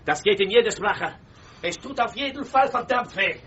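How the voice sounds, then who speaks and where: An actor playing a threatening guard and interrogator in a radio drama, speaking in a clipped, menacing manner. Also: male voice, high voice, lively intonation, moderate pace, muffled recording, noisy background.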